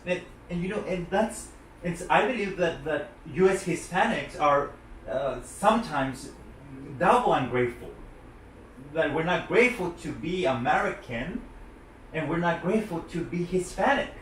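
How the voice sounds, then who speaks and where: A man's voice: on the high side, expressive, moderate pace. A man conducting an interview, hesitant and restarting his words as he asks questions. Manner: hesitant, repeats words when starting. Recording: very echoey room, distant mic, faint noise.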